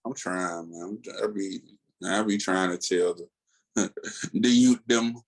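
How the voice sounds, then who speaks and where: A man with an American accent and a low voice co-hosts a casual podcast. He talks in slang and tells things in an animated way, often pausing on fillers as he finds the next thought. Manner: slangy, animated storytelling with many filler pauses. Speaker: comics podcast co-host. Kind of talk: casual chat.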